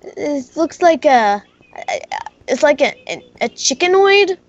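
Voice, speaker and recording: A gamer who talks haltingly and repeats words while chatting in character in a Minecraft roleplay. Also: sits high, big pitch swings. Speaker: player in a gaming video. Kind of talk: casual in-character chat during a Minecraft roleplay. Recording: muffled mic with faint noise.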